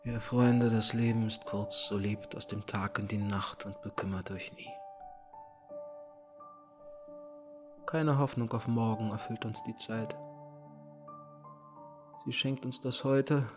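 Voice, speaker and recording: A man's voice: on the low side, steady tone, a little slow, lightly acted. A man acting as an impassioned tragic chorus narrator in a theatrical radio play, declaiming myth with obsessive repetitions. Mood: mournful, grave. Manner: declamatory, repeats phrases obsessively, shifts between whisper and cry. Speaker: actor narrating and reciting. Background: music.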